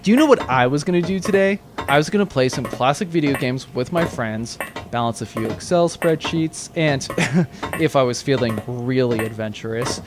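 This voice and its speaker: An actor playing a deadpan, grumbling accountant on a pirate spaceship in a comedic audio drama. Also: mid-range voice, moderate pace, over music, natural voice. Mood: weary deadpan. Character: dry, unflappable pirate-crew accountant, weary office type.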